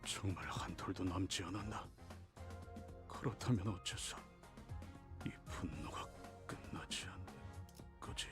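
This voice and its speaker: Dark game role male actor: low, brooding, full of wrath, loud score behind. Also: racing, long pauses, lightly acted. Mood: bitter anger. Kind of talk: Korean game voice acting. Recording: game audio under loud music.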